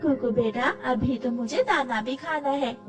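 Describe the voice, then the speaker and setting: A woman's voice: sits high, a little slow. A woman voicing a caring, practical mother bird in a cartoon story, affectionate and instructive. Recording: distant mic with music behind.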